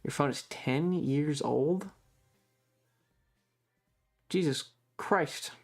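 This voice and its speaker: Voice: medium pitch, very slow, creaky, faint music behind. A livestream host chatting casually with viewers in a rambling, loose, casually profane way. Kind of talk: casual chat with stream viewers.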